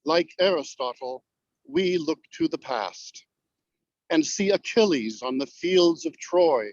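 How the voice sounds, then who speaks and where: Slow-paced man, slightly high voice, muffled audio. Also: no room sound. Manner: formal, deliberate. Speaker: poetry reader. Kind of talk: measured poem recitation, then thoughtful comment. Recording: dull, lo-fi call audio.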